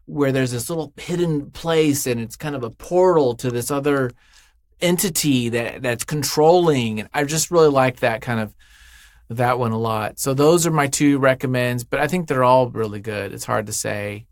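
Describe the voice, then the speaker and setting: A man's voice: mid-range voice, normal speed, no echo. A man being interviewed in a studio as a writer, chatting casually in an effusive, jokey, rambling way. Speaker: interview guest, podcast writer. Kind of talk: casual, unscripted interview chat.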